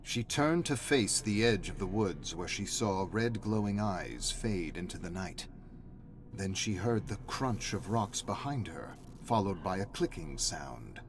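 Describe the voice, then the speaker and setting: A man's voice: low-pitched, big pitch swings, measured pace. A man reading a story as a narrator, in a dramatic scripted style.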